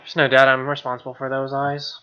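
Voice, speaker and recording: A man's voice: medium pitch, completely flat delivery, fairly quick, pushed and bright, clean tone. A young man reading game text aloud as a let's play host, with irreverent, slangy commentary. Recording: dull lo-fi mic, music behind. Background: game music with guitar.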